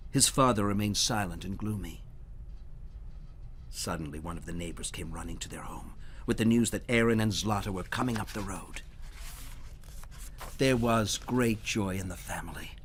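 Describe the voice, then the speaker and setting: A man reading storybooks aloud for children in a dramatic, expressive way, at times dropping to a hush. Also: fairly low pitch, slight background noise. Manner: dramatic, dropping to hushed near-whispers. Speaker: storybook reader.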